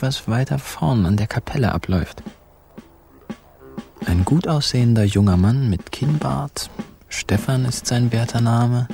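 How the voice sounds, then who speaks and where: An actor playing a sly, laconic narrator in a radio crime play, telling the story with wry, clipped phrasing. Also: male voice, fairly low pitch. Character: wry, sly male narrator telling his own swindle.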